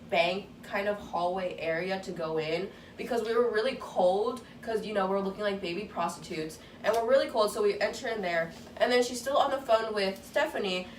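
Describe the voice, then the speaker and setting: A teenage girl with a babyish voice telling a story in a rambling, casual way, with lots of swearing. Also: medium pitch. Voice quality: youthful, babyish voice. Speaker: vlogger. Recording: echoey room, distant mic, faint noise.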